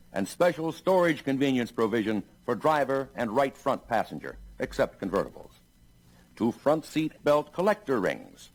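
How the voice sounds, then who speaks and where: An American man reading scripted narration for a 1960s sales training film in a measured, emphatic announcer manner. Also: mid-range voice.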